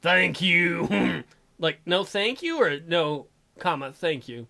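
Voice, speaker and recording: Guy doing jokey game commentary, playful, highish voice, bit gravelly, music behind. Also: sing-song, measured pace, sharp tone. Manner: irreverent, playful. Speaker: let's play host.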